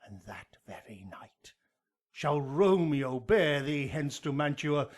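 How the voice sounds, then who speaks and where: A fatherly friar in a Shakespearean radio drama. He speaks slowly and gravely in a somewhat raised register. The delivery is measured and solemn. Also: on the high side, big pitch swings, full character voice. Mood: solemn, urgent. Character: solemn, fatherly friar counselling a young woman.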